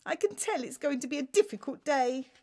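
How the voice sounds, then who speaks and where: Woman acting a loud, blustering storybook king. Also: sits high, animated, a little fast, voice pushed up. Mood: grumbling. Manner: imperious, exclamatory. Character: blustering, demanding, short-tempered storybook king.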